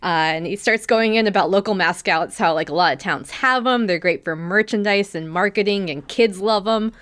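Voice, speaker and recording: A woman's voice: medium pitch, moderate pace, fairly bright tone. A woman podcast host giving a casual, chatty recap, telling the story with acted-out quotes and plenty of "like".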